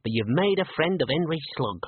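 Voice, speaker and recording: Storybook character: small, friendly, bashful bearded man, voiced by a male reader, squeaky and hesitant, slightly husky, narrow-band audio. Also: sing-song, a little fast. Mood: furtive, nervous.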